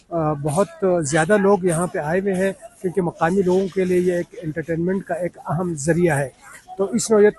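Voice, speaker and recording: Higher-pitched man reporting news. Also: darkish tone, poor audio. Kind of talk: scripted news report narration with on-location sign-off.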